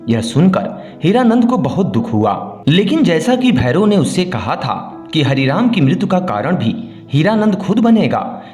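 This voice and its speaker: Man, storyteller, lively swooping intonation, scripted narration, echoey sound with music underneath. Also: fairly high pitch. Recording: roomy echo, music underneath. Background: background music.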